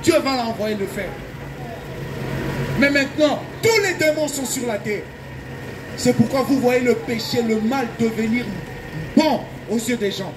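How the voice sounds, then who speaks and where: A male evangelical preacher from Belgium delivers a fervent open-air sermon. His voice sits extremely high, and he exhorts urgently with emphatic repetition. The recording is noisy, with traffic clearly heard behind him. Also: animated, a little slow, long pauses.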